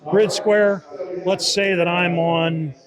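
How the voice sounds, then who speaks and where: A man giving an informal interview demo of a software tool, explaining step by step and often rephrasing as he goes. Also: high-pitched, steady tone, slow pace, a crowd in the background, poor audio. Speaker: software team member demonstrating a beta tool.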